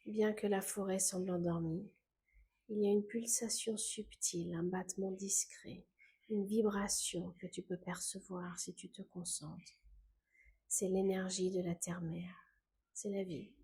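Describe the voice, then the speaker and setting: A woman guiding a scripted meditation in a calm, gentle, unhurried way, with soft phrase endings that fade into breath. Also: mid-range voice, husky. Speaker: meditation guide.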